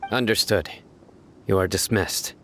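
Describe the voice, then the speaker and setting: Low, stern commanding general. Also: male voice, pushed and bright, a little hiss or hum. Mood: calm, serious. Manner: curt, authoritative, measured. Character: stern, commanding young male law-enforcement general. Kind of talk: video game voice acting.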